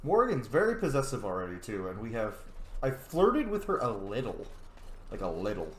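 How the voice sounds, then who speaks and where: A man's voice: medium pitch, huge pitch swings, moderate pace, slight background noise. Young guy joking around over his gameplay, echoey room. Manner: joking, playful. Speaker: gaming channel host. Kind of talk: casual gameplay commentary.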